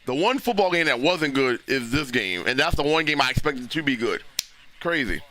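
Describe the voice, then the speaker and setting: A man, hoarse and losing his voice, hosting a casual live football reaction stream and talking loosely with frequent restarts. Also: mid-range voice, animated, moderate pace, pushed and bright. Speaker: livestream host reacting to a football game.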